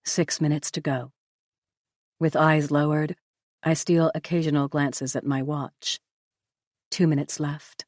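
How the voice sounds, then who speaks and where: A woman narrating a novel in the first person, with a measured, even storytelling delivery. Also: sits low, dry recording, clean studio sound. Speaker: audiobook narrator.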